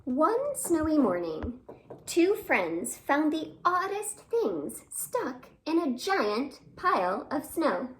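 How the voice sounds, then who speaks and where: A woman's voice: sits high, sing-song, slow pace, background noise. A woman hosting storytime for young children, reading aloud expressively with exclamations and questions to her listeners. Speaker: children's storytime host at a library. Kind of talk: animated read-aloud for young children with sung greeting.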